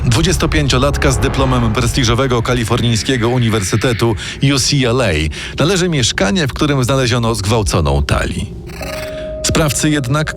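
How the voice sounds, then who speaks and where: A man narrating a scripted true-crime programme dramatically, with suspenseful storytelling and pointed pauses. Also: medium pitch.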